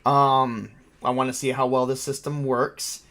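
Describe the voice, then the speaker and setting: Guy hosting a tabletop stream, normal pitched voice, rambly and informal, bit crude. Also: flat delivery, measured pace, fairly bright tone. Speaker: host and game master. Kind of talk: casual livestream chat.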